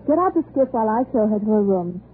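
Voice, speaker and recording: An actress in a 1930s radio drama playing a coolly sardonic, suspicious upper-class wife, polite but dry and pointed. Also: female voice, medium pitch, sing-song, fast, lightly acted. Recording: noisy, tinny 1930s radio broadcast.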